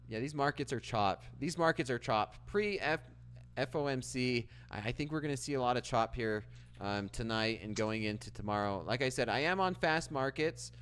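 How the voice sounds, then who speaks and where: A man's voice: mid-range voice, fairly bright tone, clear, resonant tone. A Canadian man hosting a live trading stream, chatting casually with viewers and reading their comments aloud, with frequent restarts. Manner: reads chat aloud and answers, with frequent restarts. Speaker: livestream trading host.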